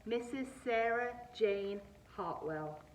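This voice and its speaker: A woman's voice: fairly high pitch, lively intonation, takes it slowly, roomy sound, recorded from a distance, slight background noise, poor audio. A woman voicing an effusive, bustling female school principal from a children's storybook, both gushing and in charge. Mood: announcing. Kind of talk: children's storybook read-aloud.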